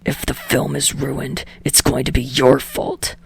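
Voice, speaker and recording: A woman voicing a foul-mouthed, hostile young man who talks in a curt, breathy growl, as in an audiobook. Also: extremely low pitch, little pitch movement, a little slow, voice pushed down, exaggerated voice. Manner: curt, venomous, snarling. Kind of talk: audiobook character dialogue.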